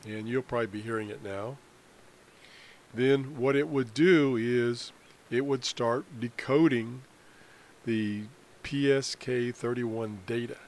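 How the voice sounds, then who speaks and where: A man explains software in an unscripted screencast tutorial, halting often in mid-sentence as he goes. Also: mid-range voice, fairly even intonation. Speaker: amateur radio hobbyist presenting a tutorial.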